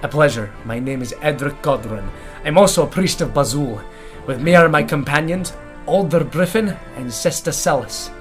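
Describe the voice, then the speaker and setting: Man playing a gruff, courteous warrior priest. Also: a little high, animated, normal speed, faint music behind, close to an everyday voice. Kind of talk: fantasy audio drama acting.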